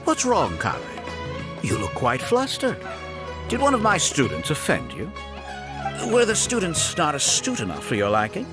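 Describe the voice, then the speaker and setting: An actor playing a smug, scheming architect in a video game, speaking in a condescending, self-justifying way. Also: male voice, a little high, wildly swooping pitch, a little slow. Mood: mock-polite. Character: smug, scheming male architect running a scam academy. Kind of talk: theatrical video game voice acting. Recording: game audio with background music.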